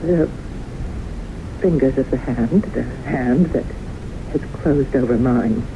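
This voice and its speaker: An actress playing a haunted, earnest woman in a 1970s radio drama, speaking with halting, deliberate intensity. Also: rumbling low, full character voice. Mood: haunted, slow. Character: haunted, earnest woman convinced death pursues her. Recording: noisy, muffled 1970s radio broadcast recording.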